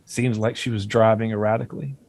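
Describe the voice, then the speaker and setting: A man at a screenplay table read playing a formal, condescending doctor with veiled menace and a measured, guarded delivery. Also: lowish voice, flat delivery, noisy background, natural voice. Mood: measured, probing.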